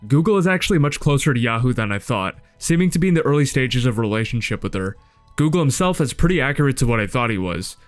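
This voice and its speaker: A man's voice: medium pitch, expressive, quick pace. A man giving casual scripted commentary in a blunt, sardonic, sweary way. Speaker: video essay host reviewing a webcomic. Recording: music under the voice. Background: background music.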